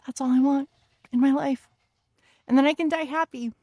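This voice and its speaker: A woman's voice: high-pitched, fairly even intonation, normal speed, darkish tone, no room sound. A woman from Finland co-hosting a casual podcast, playful and giggly, swearing freely as she chats. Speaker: podcast co-host. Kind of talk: casual chat.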